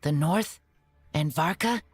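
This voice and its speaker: Husky, halting wild boy. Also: a little high, no echo. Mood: resolute. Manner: halting, simple short phrases. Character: earnest, halting wild boy raised by wolves. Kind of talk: video game character acting.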